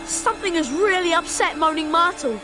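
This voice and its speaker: A woman's voice: very high voice, expressive, a little fast, over music. A woman voicing an eager but wary young schoolboy sidekick in a video game. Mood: excited.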